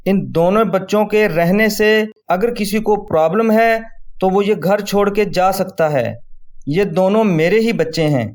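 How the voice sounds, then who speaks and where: High-pitched man as uncle, firm, protective, authoritative, cartoon moral story. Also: measured pace, a little mellow. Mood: firm, stern.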